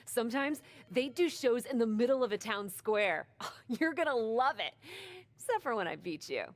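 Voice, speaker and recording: A woman's voice: a little high, animated, moderate pace, no room sound, close to an everyday voice. A woman playing an adventurous, self-assured wrestler in a video game, speaking in casual, persuasive banter. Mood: playful. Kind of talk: game cutscene dialogue.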